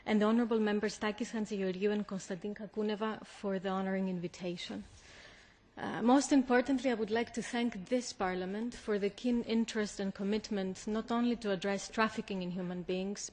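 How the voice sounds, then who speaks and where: A woman official, a native Greek speaker with a Greek accent, delivering a formal prepared speech in a measured, deliberate manner. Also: mid-range voice, a little mellow. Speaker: European Commission official, conference speaker.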